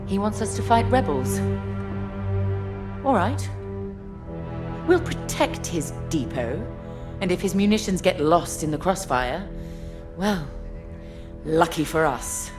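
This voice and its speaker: A woman's voice: fairly low pitch, sing-song, slow pace, long pauses, loud music behind the voice. An actress playing a proud, ruthless woman starship captain who speaks in a clipped, formal, commanding way in a video game. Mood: cool scheming. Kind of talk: scripted video game cutscene acting.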